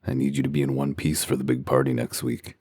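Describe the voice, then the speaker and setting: A man voicing a gruff, sardonic werewolf detective in a comic dub, with a growling, commanding delivery. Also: flat delivery, fast talker, darkish tone, dry recording. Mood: dry sarcasm. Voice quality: very deep, gravelly growl. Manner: dry, sarcastic, commanding.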